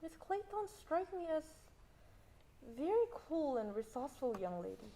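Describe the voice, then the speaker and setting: Young woman playing composed shrewd judge, pompous, lively intonation, stage drama, faint background noise. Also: high voice, normal speed, rough recording. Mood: composed, knowing. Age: young adult. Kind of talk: student stage play acting.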